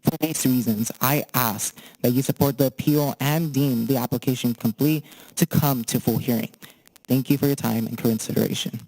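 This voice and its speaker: A man's voice: medium pitch, fairly even intonation, normal speed, a little mellow, a little hiss or hum, rough recording. Man delivering a prepared statement. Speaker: public commenter.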